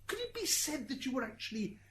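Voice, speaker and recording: A woman's voice: high voice, sing-song, a little fast, fairly bright tone, a little hiss or hum. A woman playing a flustered, wheedling defence lawyer in an amateur radio drama. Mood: leading. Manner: hesitant, leading questions.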